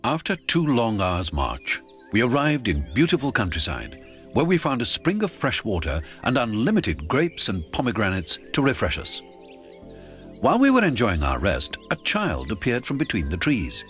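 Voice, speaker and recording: A man narrating an adventure audiobook in the first person, theatrical and expressive. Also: mid-range voice, normal speed, faint music behind.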